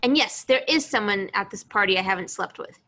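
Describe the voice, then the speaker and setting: Woman playing a tough, bright-voiced young heroine. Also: medium pitch, brisk. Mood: hurt, fed up. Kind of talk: live table read over video call. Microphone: video call.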